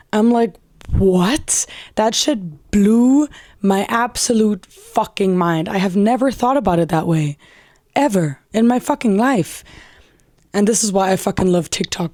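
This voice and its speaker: A young woman hosting a solo podcast in a candid, profane, chatty way, her voice raspy and tired after a weekend of socializing. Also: on the low side, mellow, no room sound, studio quality. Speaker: podcast host. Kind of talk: casual solo podcast monologue.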